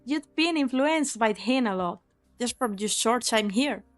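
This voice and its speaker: A woman acting as a young mercenary leader with a high voice. She is cynical and unsentimental, delivering everything with a sly, taunting edge. It is anime game dub acting with soft music underneath. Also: lively intonation, unhurried. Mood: cool warning.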